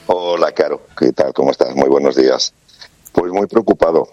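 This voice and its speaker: A man's voice: rumbling low, moderate pace, dark tone, dry recording, dull audio, faint music behind. A man from Spain with a Spanish accent, speaking as a guest commentator in an earnest way, restarting phrases with fillers. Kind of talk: remote interview commentary.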